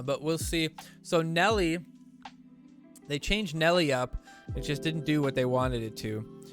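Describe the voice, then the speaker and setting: A man hosting a gaming live stream in casual commentary, sounding stuffy and rambling with lots of fillers and restarts. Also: a little high. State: stuffy nose.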